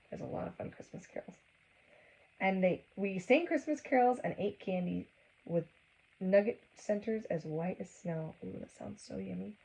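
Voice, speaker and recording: Creaky, mellow woman reading a storybook. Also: lowish voice, low-quality recording. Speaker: children's storybook reader. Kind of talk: read-aloud storytelling with asides to children.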